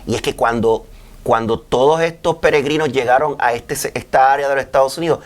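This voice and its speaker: A man co-hosting a casual panel podcast in a relaxed way, with frequent agreeing interjections. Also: medium pitch, brisk. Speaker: podcast co-host.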